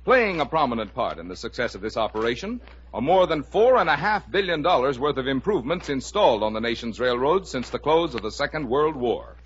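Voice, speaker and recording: A man's voice: medium pitch, lively intonation, fairly quick, slight background noise. A man reading scripted sponsor narration as a 1950s radio announcer, with formal, emphatic phrasing. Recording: muffled 1950 radio broadcast.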